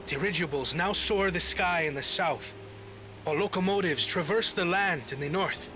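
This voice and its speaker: Solemn young prince voiced by a man, slightly high. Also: music in the background, close to an everyday voice. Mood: solemn, regretful. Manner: formal, measured oratory. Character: solemn, scholarly young male prince, humbled and dutiful. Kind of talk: video game cutscene voice acting.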